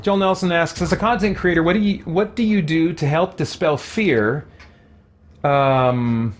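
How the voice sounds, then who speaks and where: A man's voice: fairly high pitch, a little slow, faint music behind. A man with a tired, worn voice, talking casually on a livestream in an earnest, reflective way and often correcting himself as he thinks aloud. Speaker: host, video game content creator. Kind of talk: casual livestream talk, answering viewer questions.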